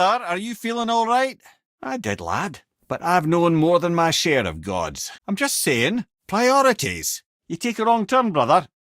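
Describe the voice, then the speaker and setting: An actor playing a witty, learned old storyteller and guide in a video game, with a Scottish accent and a wry, erudite, theatrical way of talking. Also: male voice, on the high side, a bit bright, no echo, studio quality, exaggerated voice. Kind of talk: video game character voice lines, storytelling and banter.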